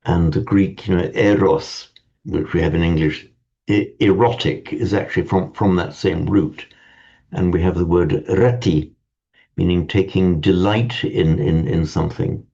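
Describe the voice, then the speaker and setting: A man teaching a Sanskrit class in a relaxed lecture manner, often stammering and restarting words. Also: low-pitched, measured pace, vocal fry, husky. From parents from Ireland. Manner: hesitant, repeats and restarts words. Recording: dull lo-fi sound.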